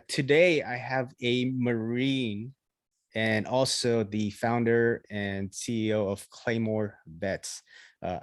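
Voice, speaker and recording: Relaxed man hosting, dry sound. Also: medium pitch, no room sound. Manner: relaxed, friendly filler-heavy questions. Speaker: podcast host. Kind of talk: casual interview hosting.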